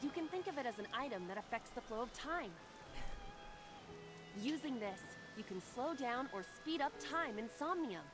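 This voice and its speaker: A woman's voice: sits high, expressive, moderate pace. An actress voicing a precise, instructive female AI eyeball companion in a detective video game, explaining things matter-of-factly. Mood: instructive, assured. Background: game music.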